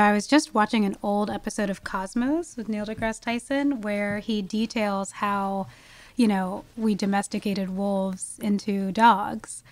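A woman speaking informally as an expert guest in a casual interview. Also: medium pitch. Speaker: podcast guest, wildlife ecologist.

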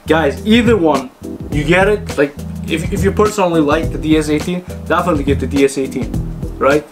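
A man's voice: fairly high pitch, brisk, music in the background. A man giving a casual, unscripted product review, talking to viewers in choppy, informal phrases. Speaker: host reviewing car audio speakers.

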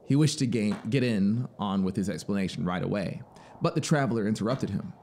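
A man in his thirties narrating literary fiction dramatically, in a measured, expressive way, close to a studio microphone. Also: fairly low pitch. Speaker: storyteller. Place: small home music studio. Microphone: close studio microphone on boom arm. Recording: faint background noise and ambience. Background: ambient soundscape.

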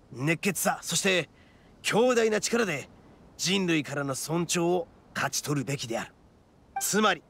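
An actor playing a brash, proud young male oni gang boss in a video game, with rough, cocky, emphatic speech. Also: fairly high pitch, a bit bright, a little hiss or hum. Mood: earnest, proud. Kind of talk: dramatic video game voice acting.

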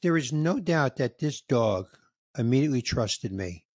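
A man in his sixties hosting a podcast, telling a story in a casual, animated way and acting out the dialogue, with some emotion. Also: medium pitch, normal speed, slightly rough, no room sound, clean studio sound. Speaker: podcast host, comedian. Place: home room with record shelves. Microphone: studio mic on boom, headphones, video call.

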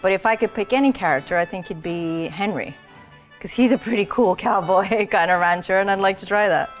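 A woman's voice: medium pitch, fairly quick, faint music behind. A woman from Bulgaria, an actress speaking simply about her role in an interview. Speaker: actor. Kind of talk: press interview.

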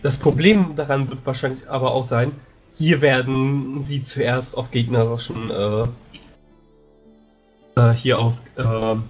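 A man playing a tabletop game, discussing tactics casually in a halting, hesitant way. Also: mid-range voice, breathy edge. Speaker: player.

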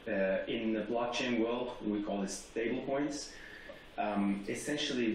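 A man on a video call with a headset, explaining steadily as a panel guest. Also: lowish voice. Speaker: company founder, panel guest. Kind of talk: casual panel talk. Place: room at home. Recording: echoey room, faint noise, far from mic.

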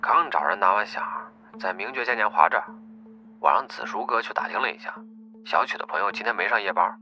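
A man's voice: fairly low pitch, expressive, quick pace, bright, forceful tone, soft background music. A man playing a stubborn, determined young gang member in an audiobook, heard through a telephone filter. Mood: brisk. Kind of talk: audiobook character acting. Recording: dull phone-filtered sound.

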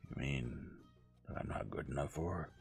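Man acting a brash, gravelly young man. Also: very deep voice, normal speed, soft background music, exaggerated voice. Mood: defiant. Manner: blunt, argumentative, insistent. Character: brash, impertinent young man, defiant and outspoken.

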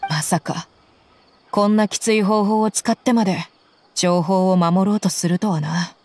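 A woman's voice: medium pitch, measured pace, darkish tone, a little hiss or hum, lightly acted. An actress playing a tough, confident young woman mercenary in a Japanese anime game, with blunt, intimidating phrasing. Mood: rueful, serious.

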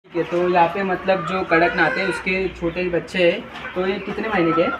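A man's voice: high voice, flat delivery, moderate pace. Young man vlogging on a clucking poultry farm. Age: young adult. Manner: chatty, fills with "matlab" often, repeats answers back. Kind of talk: casual walkthrough interview. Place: outdoor poultry farm sheds. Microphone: handheld phone camera. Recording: phone audio with clear farm noise. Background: chickens clucking.

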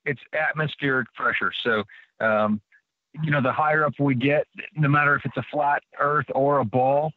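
A man as a radio show guest on a phone line, explaining informally in a measured way and repeating his points. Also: mid-range voice, fairly even intonation, dry recording. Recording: muffled narrow phone line.

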